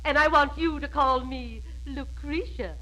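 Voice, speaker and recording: A woman's voice: high-pitched, unhurried. An actress playing a polite, formal middle-aged mother in 1940s radio comedy, on a noisy old recording. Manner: formal, courteous.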